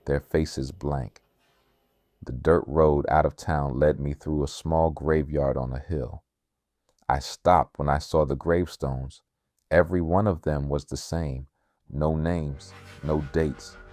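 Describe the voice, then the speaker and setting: Very deep, slow male horror narrator. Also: a little mellow. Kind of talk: scripted horror narration, first person. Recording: music behind the voice. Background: music bed.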